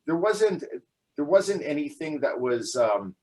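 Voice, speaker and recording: A man's voice: on the high side, very animated, measured pace. A man in his forties, from Canada, answering interview questions in a casual, hesitant way with many fillers and restarts, often laughing. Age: 40s. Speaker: guest.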